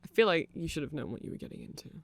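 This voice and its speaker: A performer voicing a nerdy, apologetic vampire shopkeeper in a casual ASMR roleplay, rambling hesitantly and excitably to a friend. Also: medium pitch, racing, gravelly. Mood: sheepish, friendly.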